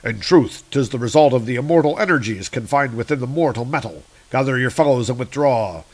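A man's voice: mid-range voice, animated, a little fast. A man voicing a noble, grandiose thunder god with lofty archaic declamation, reading a comic aloud. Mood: solemn.

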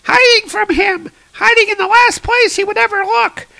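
A man voicing a frightened, pleading squid-like alien in a squeaky, shrill voice for a comic-book story. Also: normal speed, vocal fry, bright, forceful tone, heavily acted. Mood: terrified pleading. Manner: panicky, exclaiming.

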